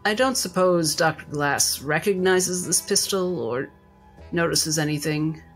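A woman voicing a shrewd, imperious lady doctor with a genteel, measured way of talking in a tabletop game. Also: low-pitched, faint music behind, low-quality recording. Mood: shrewd, composed.

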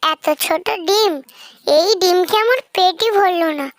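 A performer voicing a mischievous, always hungry trickster fox with a childlike, squeaky, singsong delivery in a children's fairy-tale cartoon. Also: unhurried, very noisy. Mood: greedy, searching. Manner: singsong, repeats a nonsense rhyming catchphrase.

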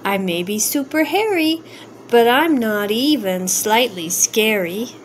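Small friendly storybook Chihuahua voiced by a woman, perky, high, rhyming lines. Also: expressive, slow, slight background noise.

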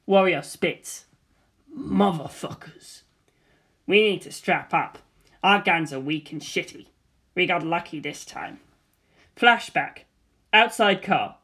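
A voice reading a screenplay aloud in a flat, deadpan way, stage directions and dialogue alike. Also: medium pitch. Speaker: script reader.

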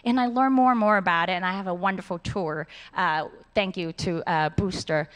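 A woman's voice: on the low side, normal speed, fairly bright tone, clean tone. A middle-aged woman, a city supervisor giving an earnest acceptance speech on a large auditorium stage into a handheld mic. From Hong Kong and Taiwan. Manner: earnest, simple phrasing. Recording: long hall reverb.

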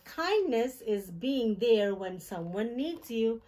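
A middle-aged woman hosting a children's storytime, reading a picture book aloud in simple, deliberate phrases and putting questions to her young listeners. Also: on the high side, sing-song, mellow. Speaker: children's storytime host and reader. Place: small decorated indoor room.

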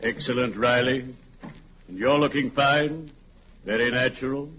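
An actor playing a courtly, morbidly cheerful undertaker in a solemn, genteel manner for a 1940s radio comedy. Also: male voice, medium pitch, natural voice. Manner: solemn, genteel, drawn-out delivery. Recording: muffled, noisy 1940s radio, audience behind.

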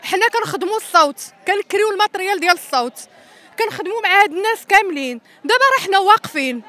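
Moroccan woman protesting, very high sharp voice, words tumbling out fast. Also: animated. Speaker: protesting sound equipment worker. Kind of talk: impassioned street interview. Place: outdoors in a city square. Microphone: handheld reporter microphone.

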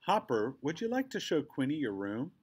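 A man's voice: fairly high pitch, big pitch swings, normal speed, slightly rough, pitched above the performer's own voice. A man voicing a kind, welcoming mother in a storybook, polite and coaxing. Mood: kindly, coaxing.

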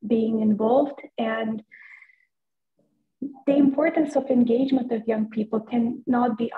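Woman moderating over a phone-like connection. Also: on the high side. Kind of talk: formal moderating of an online dialogue. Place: indoor room on a video call. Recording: thin phone-like video call audio.

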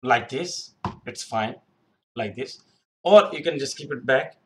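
A man's voice: medium pitch, animated, measured pace. Young male career-tips host, loose and unscripted, lots of fillers and restarts, webcam sound in a small room. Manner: rambling, repeats himself, many fillers. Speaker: youtube career advice host. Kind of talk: unscripted screen-share tutorial. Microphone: webcam in corner of screen recording.

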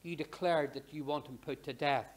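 A man in his sixties preaching a sermon from a church pulpit, speaking in short measured phrases with pauses and rhetorical questions. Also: on the high side. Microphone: lectern microphone.

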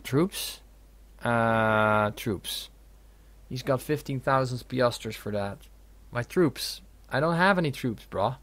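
A man's voice: medium pitch, takes it slowly, a bit bright, no room sound. A young man giving casual let's play commentary, reading game dialogue aloud with jokey asides. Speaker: gaming video host.

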